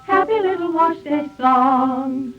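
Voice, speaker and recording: A woman's voice: high-pitched, slow pace, loud music behind the voice. A woman singing a 1940s radio advertising jingle. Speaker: jingle singer. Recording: muffled 1940s radio recording.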